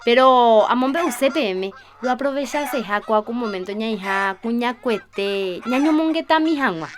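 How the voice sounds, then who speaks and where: Actress as high-voiced sociable village woman. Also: expressive, unhurried. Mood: friendly, persuasive. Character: sociable village woman organising her neighbours. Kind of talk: radio soap opera acting.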